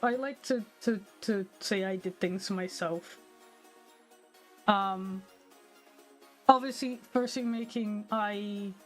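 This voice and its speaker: A young adult woman streaming, chatting candidly with her viewers, a little nasal from a cold. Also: mid-range voice. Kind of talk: casual stream chat.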